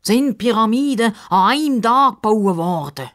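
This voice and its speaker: A woman's voice: a little high, expressive, moderate pace, pitched above the performer's own voice, lightly acted. A woman voicing a pompous, slightly huffy crocodile who drawls formally in a children's story. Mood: huffy, snippy. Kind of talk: children's radio story character acting.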